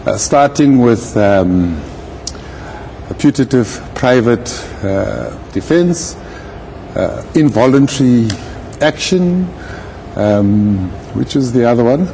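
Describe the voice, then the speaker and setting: Guy giving legal commentary, deliberate and formal, mellow voice, some noise behind him. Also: medium pitch, animated, gravelly. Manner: measured, deliberate, formal wording. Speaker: legal commentator, retired judge. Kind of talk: live interview commentary on a court case.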